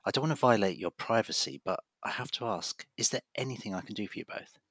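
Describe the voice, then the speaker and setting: Male actor as earnest GP in audio drama; husky, forceful, measured, very dry close sound. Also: on the low side, no room sound. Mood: reassuring, concerned. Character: reassuring, persistent male family doctor with a secret.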